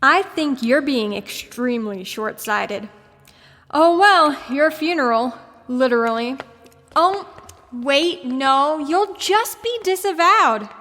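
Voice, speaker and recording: A woman's voice: high-pitched, lively intonation, takes it slowly, fairly bright tone, exaggerated voice. An actress playing a smug, sarcastic female supervillain with a mocking, theatrical manner in an audio drama. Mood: smug, gloating. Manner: mocking, theatrical, breezily threatening. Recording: long echoing reverb, faint noise.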